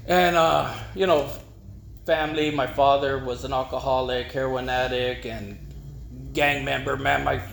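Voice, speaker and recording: A middle-aged man gives an informal personal testimony, rambling and haltingly repeating words. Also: medium pitch, fairly even intonation, creaky, fairly bright tone. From East Los Angeles, United States. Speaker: speaker at a recovery meeting. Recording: echoey room with faint noise.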